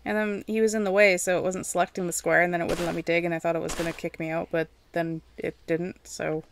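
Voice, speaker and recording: A woman's voice: fairly low pitch, level tone, fast talker, gravelly, a little hiss or hum. A woman giving casual, rambling gameplay commentary, chatty and self-deprecating, talking to the game's characters as she plays. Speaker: gaming video host.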